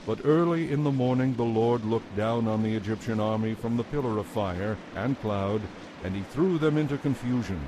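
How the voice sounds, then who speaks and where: A man narrating scripture as a dramatized reading, in a measured, declarative manner. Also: mid-range voice, noisy background. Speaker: Bible narrator.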